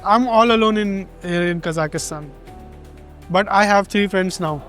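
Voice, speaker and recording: A man's voice: high voice, unhurried, a bit bright, soft background music. A man from India with an Indian accent, chatting casually in a shopping mall as a travel vlogger, mixing Hindi and English. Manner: friendly, switches between Hindi and English. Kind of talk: casual vlog chat. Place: large indoor shopping mall.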